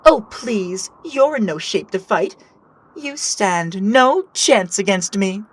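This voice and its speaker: A woman playing a smug, condescending female villain in an animated action story, with theatrical, drawn-out delivery. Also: medium pitch. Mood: smug, gloating. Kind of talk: amateur animated action voice acting.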